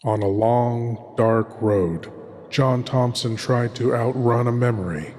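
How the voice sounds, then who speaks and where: A man's voice: mid-range voice, takes it slowly, a little mellow, lightly acted. A man playing a courtly, sinister horror host, giving ominous, theatrical scripted narration. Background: music.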